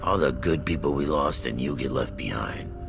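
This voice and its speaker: An actor playing a bitter, distrustful colony mechanic in a video game, with a gruff, blunt, scornful manner. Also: male voice, very deep voice, normal speed. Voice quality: gravelly. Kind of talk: video game cutscene acting. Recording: dramatic music behind the voice. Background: loud dramatic game score.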